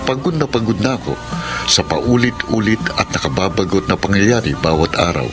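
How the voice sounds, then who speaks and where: A man's voice: on the low side, animated, normal speed, over music, lightly acted. An actor playing a weary, exasperated young Filipino man fed up with his jealous girlfriend in a radio drama. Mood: weary, confessional. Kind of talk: Filipino radio drama acting and letter narration.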